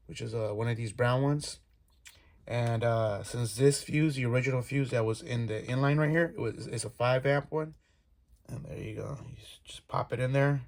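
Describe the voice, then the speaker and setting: A man's voice: mid-range voice, a little mellow. A man giving an informal hands-on DIY tutorial, talking through each step as he works. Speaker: DIY video host. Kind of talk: casual hands-on tutorial talk. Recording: clear background noise, clicks.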